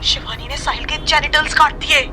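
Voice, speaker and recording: An actress playing a bossy, sharp-tongued, foul-mouthed woman who scolds and threatens in a Hindi audio drama. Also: sits high, moderate pace, a little hiss or hum. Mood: alarmed, scolding.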